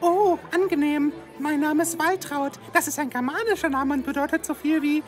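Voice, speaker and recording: A woman's voice: high-pitched, normal speed, soft background music, natural voice. A woman playing a flirtatious, playful glamour blonde in a comic audiobook, with a teasing manner.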